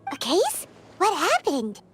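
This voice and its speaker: A woman playing a tiny, excitable, childlike female fairy companion with a squeaky voice in a video game. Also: takes it slowly, soft background music, full character voice. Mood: curious. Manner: refers to self in third person, exclamatory.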